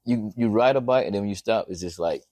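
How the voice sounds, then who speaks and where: A man's voice: lowish voice, fast talker, darkish tone, no room sound. A man hosting a casual interview, speaking encouragingly and repeating himself for emphasis. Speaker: interview host. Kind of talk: casual conversation.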